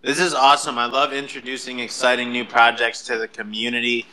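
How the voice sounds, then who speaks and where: Bright, forceful male host, even pitch. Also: fairly quick, slight background noise, rough recording. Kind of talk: casual hosting of a live audio chat.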